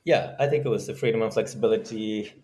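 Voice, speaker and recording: Man from Norway, low voice, casual interview answers, halting delivery, startup founder. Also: warm tone.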